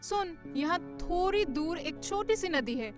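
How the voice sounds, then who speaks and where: A woman voicing a cheerful young granddaughter who hosts her friends in a cartoon story, breezy and gently bossy. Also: very high voice, animated, slow, music in the background, heavily acted. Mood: organizing. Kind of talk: Hindi cartoon story voice acting.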